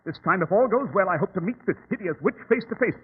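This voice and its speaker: Male, as authoritative radio-drama sleuth; formal, lively intonation, thin noisy vintage recording. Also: sits high, fast talker, slightly rough, narrow, tinny audio, exaggerated voice. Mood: intent, dramatic. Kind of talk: old-time radio drama acting.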